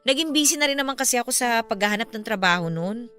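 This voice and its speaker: A young Filipino woman, high and very animated, confides like a candid college friend in a radio drama. Her bright, emphatic delivery sits over music. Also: fast, clear, resonant tone. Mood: concerned, candid. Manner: expressive, conversational, emphatic. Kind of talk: Tagalog radio drama dialogue. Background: music under the voice.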